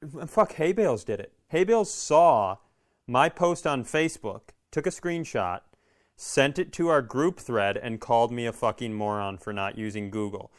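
A man with a smooth, clean voice at a middling pitch co-hosts a comedy podcast. He talks casually, swearing freely as he tells stories in an exasperated, ranting way. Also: animated, normal speed. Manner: profane, exasperated storytelling. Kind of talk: casual comic banter and ranting.

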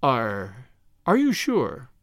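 A man's voice: fairly high pitch, very animated, moderate pace, no echo, clean studio sound. A man voicing a small, mild, shrewd country priest in a storybook, speaking simply with a timid, apologetic manner. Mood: timid vagueness.

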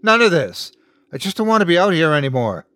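Man acting a frightened young man, high and pleading. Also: very animated, moderate pace, no room sound, clean studio sound. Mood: desperate pleading. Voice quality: faint, wavering, sob-like. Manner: pleading, exhausted.

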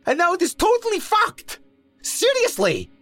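Whiny young slacker, very high-pitched, sulky, babbling, shocked, audiobook character, music behind. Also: male voice, wildly swooping pitch, normal speed, a bit bright, no echo, full character voice. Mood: sulky, distraught. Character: whiny, shocked young dude sulking over his car.

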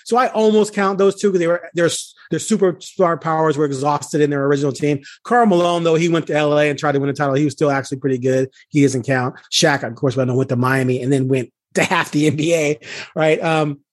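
Higher-voiced man talking sports, dry close sound. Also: steady tone, fast talker, no echo. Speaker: sports podcast host, beat reporter. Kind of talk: casual sports talk.

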